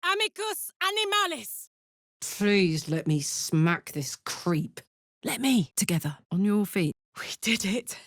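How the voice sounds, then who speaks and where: A hearty tiefling warrior woman for a fantasy video game. She is blunt and earthy yet warm-hearted, speaking at an unhurried pace with big swings in pitch. The recording is dry and close. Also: no echo, exaggerated voice. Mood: urgent, loud, then playful, hearty. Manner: expressive, informal, blunt and hearty. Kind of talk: video game character voice acting.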